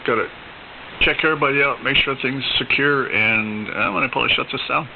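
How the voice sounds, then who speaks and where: A gravelly-voiced man chats over a CB radio in an easygoing, folksy way. The signal is dull and lo-fi, with clear hiss behind his creaky voice. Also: mid-range voice, fairly quick. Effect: two-way CB radio transmission. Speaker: CB radio operator chatting on air. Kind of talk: casual chat. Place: heard over a CB radio receiver.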